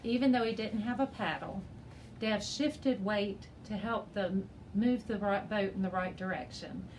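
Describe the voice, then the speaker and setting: A woman reading a children's chapter book aloud as a teacher, in an expressive storybook style. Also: medium pitch, unhurried, slight background noise, poor audio.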